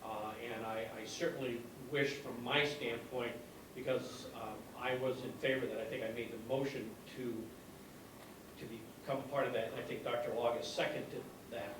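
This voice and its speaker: A man, a county supervisor, speaking in a measured, politely insistent way at a committee meeting. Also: mid-range voice. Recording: distant mic in echoey room with hiss.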